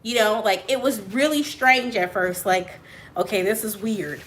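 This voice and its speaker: A small business owner answering viewer questions on a live stream, loud and animated, laughing often, with a high voice she compares to a cartoon mouse. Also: measured pace, a little hiss or hum, poor audio. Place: her print shop office.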